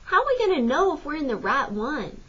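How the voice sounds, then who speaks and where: A woman's voice: sits high, very animated, fairly quick, fairly bright tone, lo-fi sound, voice pushed up. A woman voicing a polite, uncertain young boy with folksy, questioning speech in an audiobook. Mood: polite, puzzled. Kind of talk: audiobook character voice.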